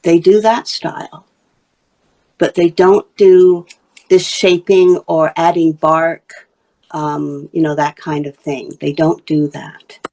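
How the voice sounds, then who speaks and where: A woman from Wales talks easily about her basket making in a relaxed interview, pointing out pieces as she goes. Her voice sits on the lower side and carries a gravelly, crackly edge. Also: slow. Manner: chatty, explaining her craft while showing pieces. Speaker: basketry artist and teacher. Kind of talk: casual interview talk.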